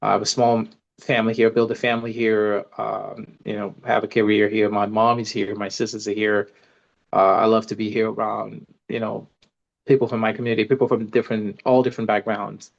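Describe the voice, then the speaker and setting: A man with a Senegalese accent introducing himself on a video call, halting with many fillers. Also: on the low side, creaky, dull audio. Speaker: advocacy director. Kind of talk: casual self-introduction.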